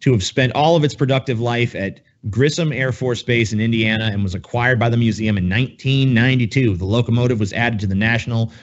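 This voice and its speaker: A man's voice: mid-range voice, fairly quick, few pauses. Sarcastic man in casual, slightly dull podcast audio. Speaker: podcast co-host. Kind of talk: casual, profane banter.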